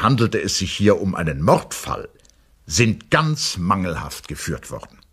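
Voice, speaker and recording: A man's voice: low-pitched, husky. A man playing an indignant, pompous public prosecutor delivering a formal, officious report in an audio drama.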